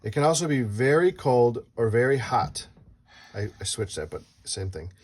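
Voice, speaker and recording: Children's read-aloud host, mid-pitched voice, playful questions, casual asides. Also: animated, normal speed, darkish tone. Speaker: host reading a picture book aloud to a child.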